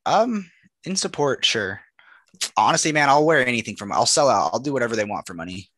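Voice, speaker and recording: A man's voice: mid-range voice, lively intonation, fairly quick, fairly bright tone, no echo. A young man co-hosting a podcast, telling rambling jokey stories in casual chat with lots of fillers. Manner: rambling storyteller, joking, with frequent fillers. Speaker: podcast co-host.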